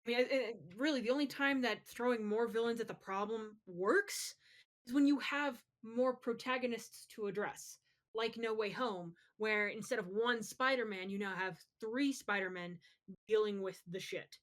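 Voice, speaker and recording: A woman's voice: on the high side, normal speed. A woman co-hosting a podcast in casual, opinionated chat, talking emphatically and swearing freely. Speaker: podcast co-host. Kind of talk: casual chat, opinionated pop culture commentary.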